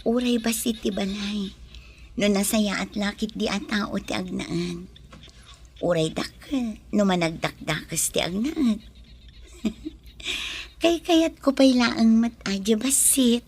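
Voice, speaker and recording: An actress playing a kind, well-mannered young bride-to-be in a radio drama, speaking gently and sincerely. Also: female voice, mid-range voice, slow pace, pauses often, breathy edge. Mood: warm, eager.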